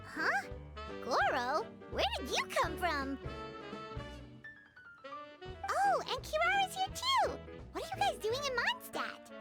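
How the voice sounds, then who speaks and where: A woman voicing a tiny, excitable, chatty childlike fairy companion in a video game, with a cheeky manner. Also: extremely high pitch, measured pace, full character voice. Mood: surprised. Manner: refers to self in third person, cheeky. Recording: music and jingles under voice.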